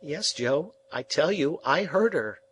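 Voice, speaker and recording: A man voicing an earnest, wistful young male apprentice who asks soft, pleading questions. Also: on the high side, lively intonation, a little slow, a little hiss or hum, pitched above the performer's own voice, low-quality recording.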